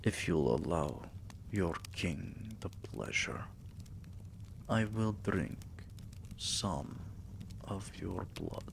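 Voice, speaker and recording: Male actor as doting vampire king, gravelly half-whisper, extremely slow, soothing, possessive, intimate ASMR roleplay. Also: low-pitched, a little hiss or hum, full character voice. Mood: gentle, seductive. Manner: slow, soothing, affectionate murmuring.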